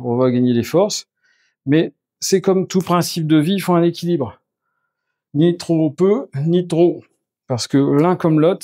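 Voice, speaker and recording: A man's voice: a little high, darkish tone, no room sound. Man explaining on camera, dry sound. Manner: step-by-step, explanatory, talks while working. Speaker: geobiologist doing an energy clearing. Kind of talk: on-the-spot demonstration with explanation. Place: indoors in a house, moving between rooms.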